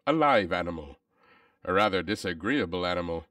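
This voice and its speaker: A man narrating a classic tale as a dramatic storybook reader, with measured, solemn phrasing. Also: low-pitched, expressive, fairly bright tone, no echo, clean studio sound, recorded from a distance.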